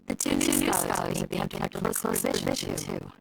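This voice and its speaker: A kindly, practical young leader in an anime game. His voice is very creaky and encouraging, sitting over loud music. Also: rumbling low, completely flat delivery, moderate pace, exaggerated voice, low-quality recording.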